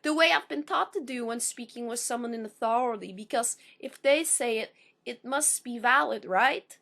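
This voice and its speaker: A woman in her thirties performs a spoken word poem in a high, bright, sharp-edged voice. Her speech sounds slightly unusual, sometimes taken for a foreign accent, and she phrases slowly and carefully, pausing between lines. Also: vocal fry. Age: 30s. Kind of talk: personal intro, then spoken word poem.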